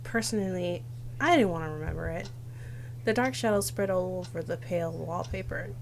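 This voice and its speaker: A woman's voice: fairly low pitch, fairly quick, gravelly. Young woman reading a game aloud, faint hum. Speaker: game streamer. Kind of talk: reading visual novel text aloud with casual commentary.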